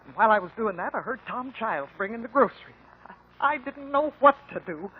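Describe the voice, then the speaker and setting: An actress playing a rural American older mother confessing in halting, folksy speech in a radio drama. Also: fairly high pitch, expressive, natural voice. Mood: distressed confession. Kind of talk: 1950s radio drama acting. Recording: muffled 1950 radio recording.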